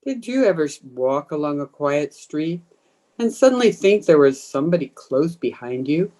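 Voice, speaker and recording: A woman's voice: deep voice, sing-song, dark tone. A woman playing a menacing, commanding undead ghost hungry for blood, in old time radio horror style. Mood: eerie, hungering. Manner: slow, commanding, taunting. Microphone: video call.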